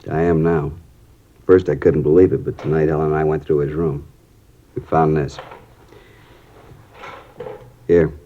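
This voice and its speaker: An actor playing a distraught middle-aged father who talks in a halting, desperate, strained way, in a 1950s radio drama. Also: rumbling low, level tone. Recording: dull lo-fi 1950s radio broadcast.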